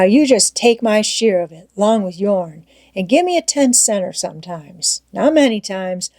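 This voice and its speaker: Storybook role: rough young vagabond boy, played by a woman, grumbling rustic dialect, stubborn, dry close sound. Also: lowish voice, darkish tone, no echo. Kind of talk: storybook character voice in audiobook reading.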